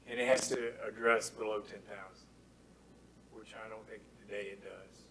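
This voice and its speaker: A man's voice: fairly low pitch, steady tone, normal speed, vocal fry, fairly bright tone. A man on a town committee, speaking earnestly at a public meeting while losing his voice and repeating his key points. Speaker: committee member. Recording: faint room noise, meeting hall.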